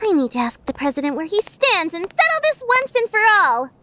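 A woman playing an excitable, dramatic teenage student secretary in an anime fandub radio play. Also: extremely high pitch, a little fast, a little hiss or hum, exaggerated voice. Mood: exasperated.

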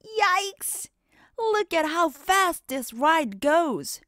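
A woman voicing an excitable, competitive young fox in a children's storybook, with animated, exclamatory delivery. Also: very high-pitched, very slow, no echo, clean studio sound, voice pushed up, full character voice. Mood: amazed.

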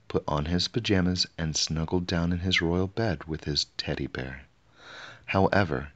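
Male storybook narrator, low and slow, expressive delivery, slightly lo-fi home recording. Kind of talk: scripted children's bedtime story narration.

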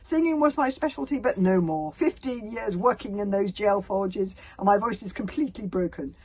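A woman's voice: medium pitch, big pitch swings, moderate pace, slight background noise, pitched above the performer's own voice, natural voice. A woman voicing a boastful middle-aged male hero with a grand, flowery, self-satisfied manner in a storybook. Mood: sad, theatrical.

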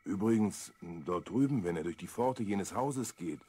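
Gruff ancient potter, low husky voice, wry, kids audio drama. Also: male voice, animated, measured pace, dark tone, heavily acted. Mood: wry, helpful. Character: gruff, wry Athenian potter, plain-spoken adult man. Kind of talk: children's audio drama acting.

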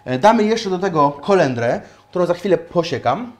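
A man's voice: sits high, lively intonation, moderate pace, a little mellow. A man in his thirties hosting a cooking show, explaining each step in a chatty way while he cooks in a small home kitchen. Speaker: cooking show host, chef. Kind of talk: casual cooking chat on a live stream. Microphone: lapel mic.

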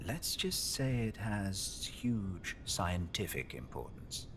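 A man acting in a video game as an older, wealthy, shadowy businessman, coolly persuasive, measured and formal in his speech. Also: sits low, very animated, noisy background, exaggerated voice.

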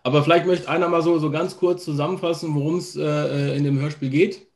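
A man's voice: on the high side, fairly even intonation, brisk. A man in his thirties hosting a casual interview and putting questions to his guests. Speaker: host, interviewer. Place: home room lined with shelves, video call.

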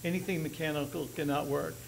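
A man's voice: fairly high pitch, steady tone, fast talker, vocal fry, a little hiss or hum, rough recording. An older man, a company executive, giving a conference presentation on stage at a podium microphone, with dry, chatty jokes. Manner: dry joking, loose and chatty. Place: large auditorium stage.

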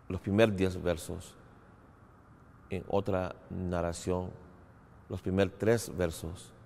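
Slow, low-voiced man in an echoing hall. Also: darkish tone. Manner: halting, in short phrases with pauses. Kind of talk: short religious talk to camera. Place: large empty hall with a banner, indoors. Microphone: lapel mic, standing to camera. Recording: long hall reverb.

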